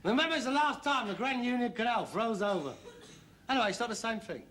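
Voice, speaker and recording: An actor playing a scheming, sarcastic working-class son with a London accent, bantering theatrically in a British sitcom. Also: male voice, extremely high pitch, animated, fast talker, a bit bright, a little hiss or hum. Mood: eager, persuasive.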